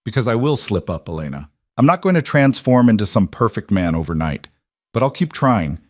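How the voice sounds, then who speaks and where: A man voicing an earnest, remorseful yet controlling mafia boss husband in an audiobook, measured and insistent. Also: lowish voice, very animated, dry recording, studio quality. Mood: remorseful, pleading.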